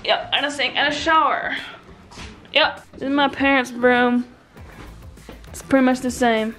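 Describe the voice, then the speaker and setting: A young woman with a slightly high voice talks slowly and loosely into a handheld camera, giggling and dropping slang as she vlogs. Music plays under her voice throughout. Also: fairly even intonation, a bit bright. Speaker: vlogger. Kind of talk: casual vlog chat to camera. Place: car and hotel, indoors. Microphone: phone or handheld camera at arm's length.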